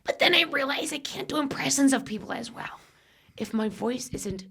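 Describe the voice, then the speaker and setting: A woman's voice: high-pitched, lively intonation, normal speed, gravelly, fairly bright tone. A woman from Buffalo hosting a comedy podcast in a studio. She chats casually, swears often and jokes around, and her voice is a little worn from vaping. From Buffalo, New York. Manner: crude, jokey, rambling. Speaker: podcast host.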